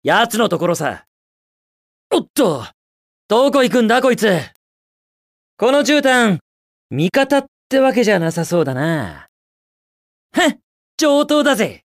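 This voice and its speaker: A man's voice: sits high, very animated, a little slow, pauses often, no echo, clean studio sound, exaggerated voice. A man voicing a cocky, carefree young hedgehog hero in a video game, with breezy, confident, slangy delivery. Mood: cocky, relaxed.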